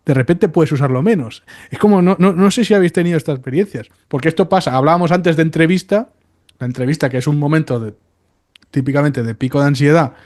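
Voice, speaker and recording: A man's voice: fairly high pitch, quick pace, darkish tone. A man leading a casual podcast discussion, thinking aloud and putting questions to the other guests. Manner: reflective, asks the others questions.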